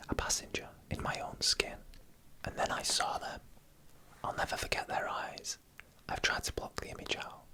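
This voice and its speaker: A narrator telling scary stories in a slow, hushed, breathy ASMR whisper, close to the microphone. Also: low-quality recording. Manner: slow, hushed, deliberate storytelling. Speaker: host and narrator.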